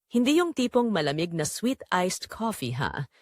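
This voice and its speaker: Expressive Filipina wife, confiding, acted by a woman. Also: lowish voice, moderate pace, dry recording, studio quality. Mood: wistful, hurt. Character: quiet neglected Filipina wife confessing her hidden longing. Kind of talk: first-person dramatic audio story narration.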